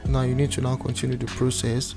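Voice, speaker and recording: A young Nigerian man with a Nigerian accent walks through a screen recording step by step, speaking in a flat, even tone. He often mutters to himself as he works, recorded on a webcam mic indoors with music playing underneath. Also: mid-range voice, fast talker, creaky. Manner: talks through each step aloud, muttering to himself at times. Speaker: tutorial presenter. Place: indoor room.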